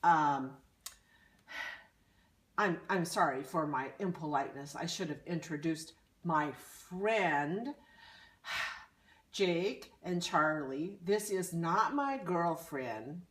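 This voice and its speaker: A woman voicing a young man who stammers, apologizes and firmly corrects his excited friends. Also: sits low, slow pace, pauses often, roomy sound. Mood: apologetic. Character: flustered young man, patient and exasperated.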